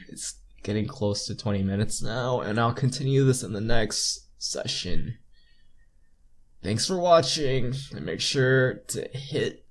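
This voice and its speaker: A young man hosting a game playthrough, reading story text aloud and commenting on it informally. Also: lowish voice. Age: young adult. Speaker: playthrough host.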